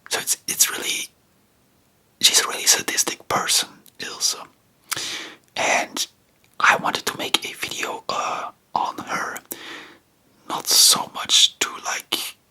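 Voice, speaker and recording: A person whispering in an informal, rambling ASMR style, breathy and unvoiced, chatting about history close to the microphone. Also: bright, forceful tone, poor audio. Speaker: host of a history ASMR channel.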